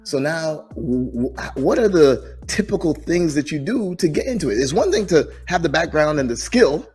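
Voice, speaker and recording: Husky, animated podcast host. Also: male voice, fairly high pitch, normal speed, soft background music. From Jamaica. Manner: lively, encouraging, frequent restarts. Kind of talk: casual interview.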